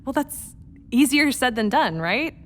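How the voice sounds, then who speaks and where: Actress doing a young-hearted space pilot lady, teasing but anxious, lively highish voice, radio drama. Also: a little slow. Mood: worried, earnest. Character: anxious, playful woman spaceship pilot in love. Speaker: actor in a radio play. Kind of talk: naturalistic radio drama dialogue.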